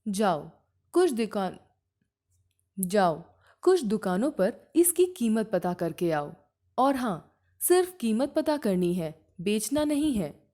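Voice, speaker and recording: Woman as patient storybook sage, mellow and slow, teaching gently. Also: medium pitch, big pitch swings. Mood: instructing.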